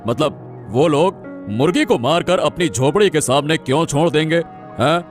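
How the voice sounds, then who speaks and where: Cartoon king played by a man: authoritative, probing, commanding delivery, slightly high male pitch. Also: moderate pace, soft background music, natural voice. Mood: questioning, commanding. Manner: commanding, questioning. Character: questioning, authoritative king of a village realm. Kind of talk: Hindi cartoon story voice acting.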